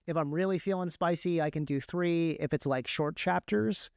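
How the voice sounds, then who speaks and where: A man with a clear, resonant voice pitched a little high. He talks casually as an interview guest, explaining how he works and revising his phrasing along the way. The sound is dry and close. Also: normal speed, dry recording. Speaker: guest, indie author and audiobook narrator.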